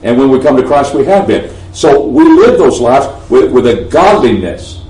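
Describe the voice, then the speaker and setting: A man's voice: medium pitch, very animated, normal speed, darkish tone. A man with a Texan accent preaching a sermon, swelling emphatically on key phrases and often restarting his sentences. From Texas. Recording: roomy echo, mic at a distance.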